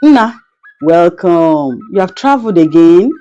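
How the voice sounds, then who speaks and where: A woman voicing a wise, calm wife in a folktale, knowing and quietly sarcastic. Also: on the low side, a little slow, muffled recording, faint music behind. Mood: calm, wry.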